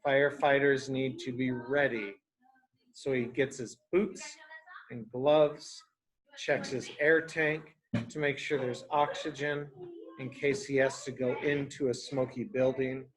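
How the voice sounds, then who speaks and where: A man's voice: mid-range voice, little pitch movement, a little slow, poor audio. A man reading a picture book aloud to young children as a teacher, explaining things simply and asking them questions.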